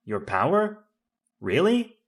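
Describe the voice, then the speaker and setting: A man's voice: on the high side, huge pitch swings, slow pace, voice pushed up, full character voice. A man playing an earnest, bold young hero in a tokusatsu audio drama, with a declamatory heroic manner. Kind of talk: audio drama superhero acting.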